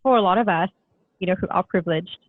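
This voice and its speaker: A woman's voice: fairly low pitch, quick pace, no echo. A woman hosting an interview in a casual, conversational way, thinking aloud as she frames her questions. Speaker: podcast host. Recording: thin phone-like audio, dry.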